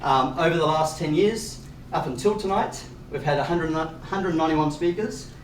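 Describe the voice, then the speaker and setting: A man hosting a meetup, giving upbeat announcements with many fillers. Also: fairly high pitch. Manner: upbeat, many fillers, quick asides with chuckles. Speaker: meetup organiser and host. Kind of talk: event welcome and announcements. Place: event space. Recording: echoey room from far off with faint noise.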